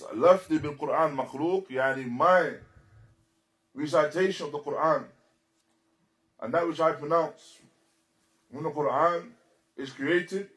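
A man giving a religious lecture as a teacher, speaking deliberately and emphatically in short, repeated phrases and switching into Arabic quotations. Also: a little high, a bit bright. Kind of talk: religious lecture explaining a text.

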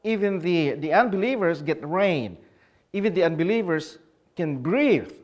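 Man from the philippines, conference speaker, slightly high voice, questions the audience. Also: animated, moderate pace, highs cut off. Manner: explanatory, asks the audience questions. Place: conference hall.

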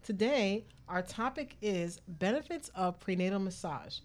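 A woman's voice: medium pitch, animated, measured pace. A woman hosting a casual, chatty radio show in an effusive, rambling way.

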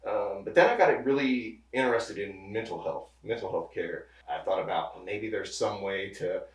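A man in his thirties, a pharmacist, talking casually as an interview guest, relaxed and rambling with many restarts. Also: fairly low pitch, normal speed. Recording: roomy echo, distant mic.

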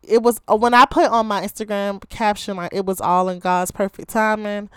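A young woman in her twenties from Baltimore hosts her own podcast, talking casually and chattily with a slightly hoarse voice that is still coming back. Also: mid-range voice, flat delivery, a little fast, a bit bright, no echo, clean studio sound. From Baltimore, Maryland, USA. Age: 20s. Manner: chatty, heavy use of "like" and "you know". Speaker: podcast host. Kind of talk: casual solo podcast chat.

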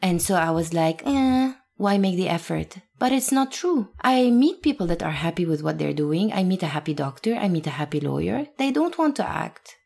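A woman's voice: on the low side, sing-song, moderate pace, vocal fry, few pauses, a little mellow. A woman in her thirties from Lebanon, an actress talking earnestly and emphatically as an interview guest. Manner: earnest, emphatic, laughs now and then. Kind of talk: reflective interview talk.

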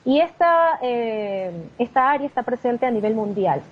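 A woman guest on a radio show patiently explains her work as a corporate trainer. Her voice is somewhat high and friendly. The broadcast sounds dull and lo-fi, with faint background noise. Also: expressive, normal speed. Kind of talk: radio interview answers.

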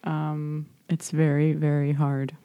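A woman's voice: sits low, monotone, slow pace, smooth, narrow, tinny audio. A young American woman chatting casually as podcast host, hesitant and self-deprecating with frequent laughs. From United States. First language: English. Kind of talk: casual chat.

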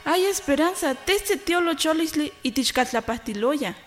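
A woman's voice: high-pitched, expressive, moderate pace. An actress in a radio drama playing a worried pregnant village woman who talks plaintively. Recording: distant, reverberant, with music.

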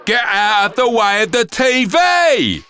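A man voicing a spoilt girl who shouts and whines at everyone blocking her TV. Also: very animated, takes it slowly, clear, resonant tone, dry recording, exaggerated voice. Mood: shrieking. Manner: shrill, shouting, whiny. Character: spoilt bratty girl glued to the TV.

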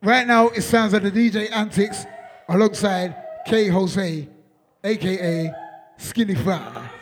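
A man MC, voice worn out from performing, loudly laughing and shouting out names in live banter. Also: high voice, measured pace. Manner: loud, laughing, shouts out people. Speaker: MC on a live stream. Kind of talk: hyped live banter between sets.

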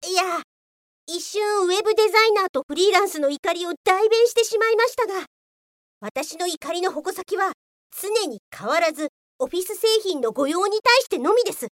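A woman playing a sharp-tongued, exasperated police officer in a comedic radio drama, scolding and switching between polite and rude. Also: very high voice, lively intonation, moderate pace, dry recording, clean studio sound. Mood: indignant.

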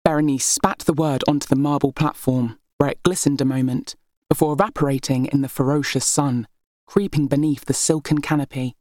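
A woman reading historical fiction as an audiobook narrator, in expressive scripted storytelling. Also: rumbling low, a little fast, no room sound, clean studio sound.

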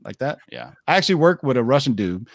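40s guy hosting a chill podcast chat, rambly and animated, says man and dude a lot, dry close sound. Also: medium pitch, fast, creaky, no room sound. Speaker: podcast host. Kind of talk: casual chat.

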